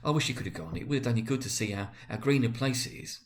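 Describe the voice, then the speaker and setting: Storybook father, played by a man: tender, eager, grieving, halting speech, highly animated pitch. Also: close to an everyday voice. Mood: wistful, grieving. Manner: halting, stammering with repeated words. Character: Bob Cratchit, tender, grieving, eager working-class father. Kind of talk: dramatic storybook reading.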